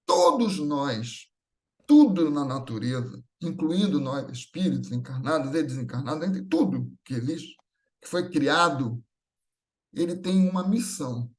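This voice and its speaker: A man's voice: on the high side, lively intonation, a little slow. A man with a Brazilian Portuguese accent giving a semi-improvised spiritual talk, teaching with repeated phrases and short tag questions. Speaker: speaker at a Spiritist gathering.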